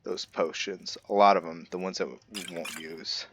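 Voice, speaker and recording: A man's voice: low voice, fairly even intonation, moderate pace, a bit bright, a little hiss or hum. A man giving casual gameplay commentary, thinking aloud and musing to himself as he goes. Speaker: gameplay video host.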